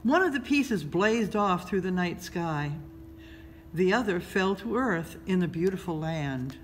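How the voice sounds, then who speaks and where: A woman reads a children's story aloud in a measured, expressive storytelling style, pausing between phrases. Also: fairly low pitch. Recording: noticeable background noise.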